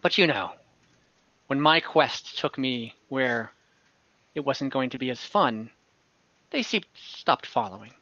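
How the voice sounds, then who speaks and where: A man playing a scheming adventurer who recruits warriors, speaking earnestly and deliberately in tabletop roleplay. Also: medium pitch, animated, bright, forceful tone, no echo, full character voice. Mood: confiding. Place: room at home, on a group video call. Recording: dull online-call audio.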